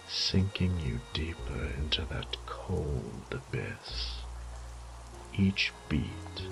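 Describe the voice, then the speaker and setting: A man playing a sadistic, condescending vampire lord in a roleplay audio drama, theatrical and taunting, with breathy whispered phrases. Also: deep voice, full character voice, rough recording. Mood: cold, savoring.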